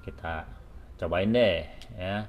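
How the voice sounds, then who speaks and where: A man's voice: deep voice, slow, lo-fi sound, slight background noise. A man. He presents a product tutorial in a casual, hands-on way. His delivery is informal, moving step by step with little check-in tags along the way.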